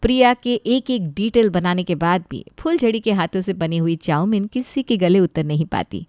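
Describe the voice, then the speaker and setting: A woman's voice: lowish voice, moderate pace, a little mellow, dry recording, studio quality. A woman storyteller reading scripted narration of a family tale.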